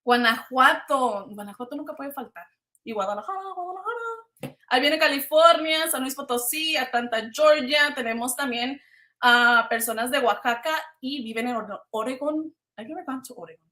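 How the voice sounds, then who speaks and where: Bright-voiced Mexican woman in a roomy space. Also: high voice, moderate pace. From Veracruz, Mexico. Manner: informal, switches between Spanish and English. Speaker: livestream host. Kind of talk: casual live chat with viewers. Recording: roomy, echoing livestream sound.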